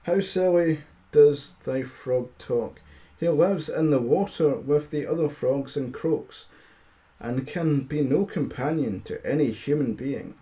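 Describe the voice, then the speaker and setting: A man's voice: on the high side, slow, mellow. A man voicing a spoiled young storybook princess, disdainful and fretful, in petulant archaic phrases.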